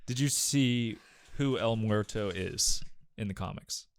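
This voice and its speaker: A man in his twenties with a somewhat deep voice, recorded close and dry. He talks casually as the co-host of an entertainment news show. His delivery is laid-back and offhand. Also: a little slow, no echo.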